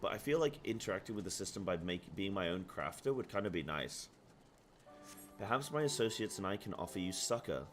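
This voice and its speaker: A man with a very deep, booming voice that carries across the room chats loosely on a live stream. He rambles and thinks aloud from his apartment. Faint game music sits under his talk. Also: animated, brisk. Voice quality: deep, carrying voice. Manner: loud by habit. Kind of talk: casual live-stream chat.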